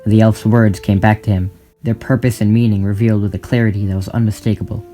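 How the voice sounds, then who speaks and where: Low-pitched man narrating a dramatic story. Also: flat delivery, fast, mellow. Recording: music behind the voice. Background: clear music.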